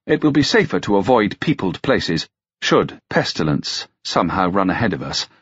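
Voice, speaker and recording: A man's voice: low-pitched, very animated, moderate pace, fairly bright tone, slightly rough, no room sound, highs cut off, heavily acted. A man voicing a haughty, cool, mocking princess in an audiobook, with imperious, archaic courtly speech.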